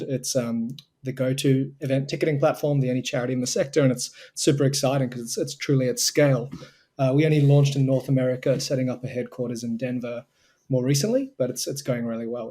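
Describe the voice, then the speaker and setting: A man's voice: medium pitch, steady tone, fast, dark tone. A young Australian man, a guest in an interview, explaining his work earnestly and fluently. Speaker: guest, co-founder of a tech charity. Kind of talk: remote interview answers.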